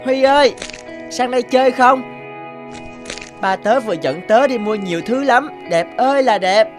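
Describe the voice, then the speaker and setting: A woman voicing an eager, polite young schoolboy in an animated cartoon. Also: a little high, moderate pace, music in the background, close to an everyday voice. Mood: excited.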